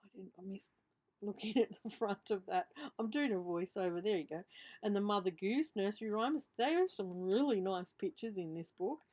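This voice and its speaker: A middle-aged Australian woman talks through her craft finds in an unscripted voiceover. Her voice sits at an average pitch for a woman, with a slight lisp. She rambles in a chatty way, trailing off and correcting herself as she goes. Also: moderate pace, darkish tone. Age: 50s. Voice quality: slight lisp from a missing tooth. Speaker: craft vlogger. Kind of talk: casual, rambling show-and-tell voiceover.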